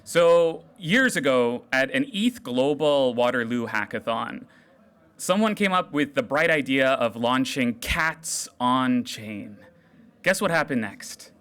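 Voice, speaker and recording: A man giving an energetic, jokey live tech talk to a hackathon crowd on a stage microphone. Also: medium pitch, slow, clear, resonant tone. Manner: loud, animated, jokey with the crowd. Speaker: developer relations speaker. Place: large room at a hackathon event.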